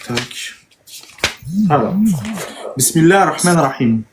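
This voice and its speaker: Calm moderator guy, mid voice, keeps turns in order and reads stuff out. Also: mid-range voice, background noise. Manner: calm, brief interjections, plain reading. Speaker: debate moderator. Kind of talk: moderating and reading aloud.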